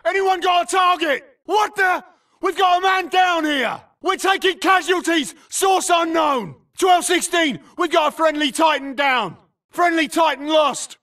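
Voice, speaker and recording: An aggressive foot soldier in a combat game. He shouts clipped, urgent callouts in a very high, hard-edged voice, slightly distant from the mic. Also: measured pace, exaggerated voice. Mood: alarmed. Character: aggressive male infantry grunt yelling casualty and target callouts. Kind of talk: military combat barks.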